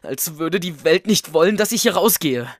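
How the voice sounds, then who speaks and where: A man playing a lonely, apologetic man trapped at home in a horror audiobook, halting and resigned. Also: on the high side, animated, no echo, clean studio sound, natural voice.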